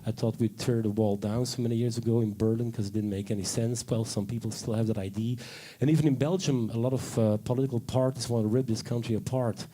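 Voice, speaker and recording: A man's voice: mid-range voice, fairly even intonation, quick pace, dark tone. A man moderating a live panel talk in front of an audience, rambling eagerly with many fillers and self-corrections.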